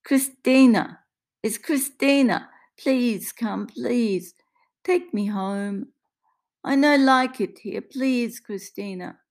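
A woman's voice: a little high, takes it slowly, darkish tone, voice pushed up. A woman voicing a desperate woman in her eighties with an Italian accent, pleading in broken English and repeating her words. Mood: desperate, tearful. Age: 80s.

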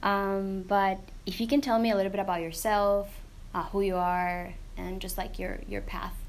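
A woman's voice: medium pitch, level tone, moderate pace. A woman hosting a podcast who reads a scripted guest introduction and then asks questions a little hesitantly. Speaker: podcast host.

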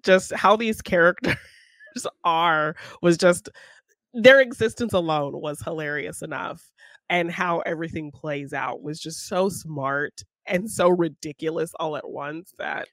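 A woman's voice: low-pitched, a little slow, no room sound. Giggly woman chatting on a film podcast. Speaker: podcast co-host. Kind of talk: casual chat about films.

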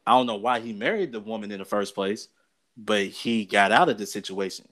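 A man's voice: on the low side, a little fast, fairly bright tone. Emphatic American man talking sports. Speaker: sports talk show co-host. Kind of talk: casual opinionated sports and gossip chat.

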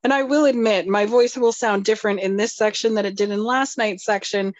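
A woman's voice: medium pitch, steady tone, a little fast, dry recording, lo-fi sound. Drowsy, self-deprecating woman podcast co-host. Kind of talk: casual chat.

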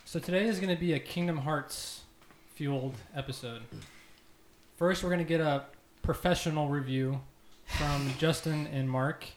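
A man's voice: on the high side, steady tone, moderate pace. A man hosting a casual group podcast with playful, mock-grandiose banter. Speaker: podcast host. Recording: echoey room, faint noise.

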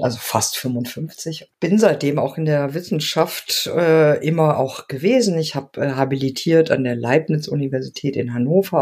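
A woman's voice: sits low, dark tone, slightly rough. A woman in her fifties from Argentina, a sociology professor answering interview questions thoughtfully with frequent fillers. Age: 50s. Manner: thoughtful, many fillers, laughs now and then. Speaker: sociology professor, podcast guest.

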